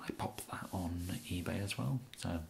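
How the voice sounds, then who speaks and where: Hushed, low English kidnapper, acted by a man. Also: noisy background. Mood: matter-of-fact. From England. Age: young adult. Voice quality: hushed, breathy whispering and soft speech. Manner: hesitant, halting, rambling. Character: bumbling, apologetic, awkwardly polite male kidnapper. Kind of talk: whispered ASMR roleplay. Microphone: close microphone.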